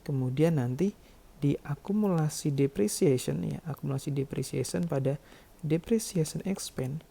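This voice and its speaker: Very dark-voiced man explaining, gravelly edge. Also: a little high. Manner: step-by-step, frequently checking with "ya". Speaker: accounting lecturer. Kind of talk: tutorial explanation of worked calculations.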